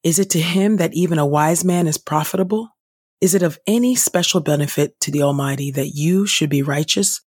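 A woman reading scripture aloud for a devotional podcast in a measured, deliberate, formal way. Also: low-pitched, darkish tone, breathy edge, dry recording, clean studio sound. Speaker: scripture reader and podcast host.

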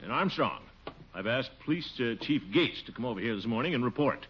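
An actor playing a pompous, blustering middle-aged uncle who has become a self-important official, in 1940s radio comedy style. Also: male voice, mid-range voice, animated, brisk, natural voice. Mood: bossy, gleeful. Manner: pompous, blustering, swooping delivery with chuckles. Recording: noisy, muffled 1940s radio recording.

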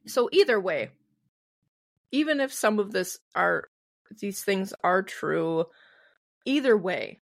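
A woman's voice: mid-range voice, animated, slow, pauses often, dry recording, studio quality. A woman with a Midwestern American accent, co-hosting a podcast with casual, comedic storytelling in a giggly, playful manner. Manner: giggly, playful, acts out quotes. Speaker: podcast co-host.

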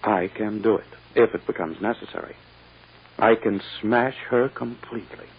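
An actor playing a smug, ruthless lawyer in a 1940s radio drama, speaking in a pompous, condescending, deliberate way. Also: male voice, lowish voice, husky. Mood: coldly menacing. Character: smug, ruthless, silky male prosecuting lawyer. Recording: muffled old-time radio recording.

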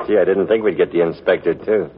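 A man's voice: low voice, a little hiss or hum. An actor playing a dim, gloating gangster henchman with slangy tough talk in a 1940s radio drama. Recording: dull vintage 1940s radio recording.

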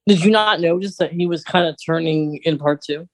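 A podcast co-host speaks casually in a medium-pitched voice, chiming in with reactions and comments. The sound is dry and close. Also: fairly quick, dry recording.

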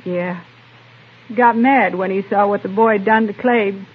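An actress playing a hard, stoic frontier homestead wife with husky, folksy, clipped speech in an old radio western. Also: female voice, mid-range voice, unhurried. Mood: bitter, stoic. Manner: blunt, folksy, clipped phrases. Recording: narrow-band vintage radio recording.

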